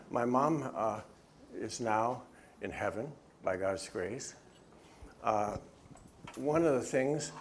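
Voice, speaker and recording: A man's voice: on the low side, slight background noise. A middle-aged man, the author, gives an earnest talk at a church and answers questions, often stopping and restarting. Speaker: author and speaker.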